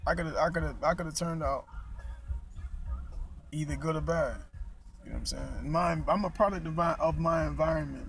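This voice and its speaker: A young American man vlogging casually, halting and restarting his sentences and clearing his throat, his mouth still numb from dental work, talking outdoors into a handheld camera. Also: on the high side, a bit bright, a little hiss or hum. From United States. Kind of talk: casual rambling vlog. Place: outdoors in a park.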